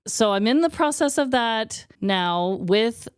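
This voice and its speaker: A woman's voice: a little high, lively intonation, takes it slowly, smooth, no echo, studio quality. A woman in her thirties co-hosting a podcast, chatting candidly with a lot of "like" and the odd swear word. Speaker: podcast co-host. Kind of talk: casual chat.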